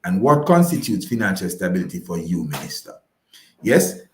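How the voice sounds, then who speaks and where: A man's voice: deep voice, big pitch swings, moderate pace, slight background noise. Jamaican man explaining emphatically, echoey room. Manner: emphatic, repetitive, didactic, checking with "right?". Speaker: guest explaining personal finance. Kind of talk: conversational explanatory radio talk.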